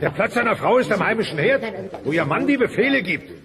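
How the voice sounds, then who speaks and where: Bumbling dinosaur dad, high gravelly voice, dim, eager to please, comedy audio play, crowd noise. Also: male voice, very animated, fast talker. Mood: smug. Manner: bumbling, hesitant, naive. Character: dim, eager-to-please, chauvinist dinosaur family man and employee. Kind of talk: comic radio play acting.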